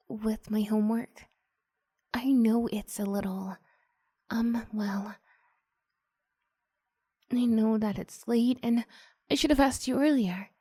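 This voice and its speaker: Woman doing a shy nervous student girl, kinda high and breathy, flustered and gushy, slow soft asmr roleplay. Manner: hesitant, gushing, breathy half-whispers. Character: shy, flustered, adoring female student seeking homework help.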